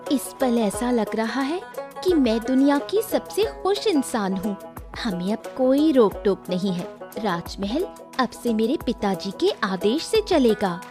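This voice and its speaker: A woman's voice: on the high side, sing-song, a little slow, music in the background. A woman voicing a happy, romantic young royal in a cartoon story, affectionate and eager. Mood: blissful. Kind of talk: animated moral story dramatization.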